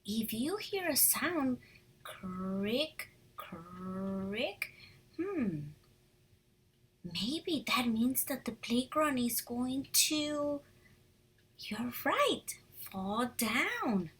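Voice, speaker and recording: A woman teaching young children through a picture-book read-aloud, animated and encouraging, pausing to ask questions, with a husky, breathy voice. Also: a little high.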